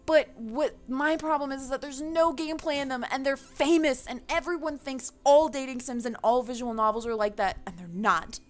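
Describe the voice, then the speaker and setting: A woman's voice: sits high, normal speed, hardly any pauses. A woman giving a casual, opinionated rant to viewers, passionate and emphatic, with loose swearing, as a gaming video creator.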